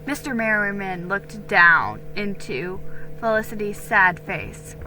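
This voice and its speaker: A woman's voice: mid-range voice, lively intonation, takes it slowly, pushed and bright, faint music behind, low-quality recording. A woman giving a dramatic narration of a storybook as its reader.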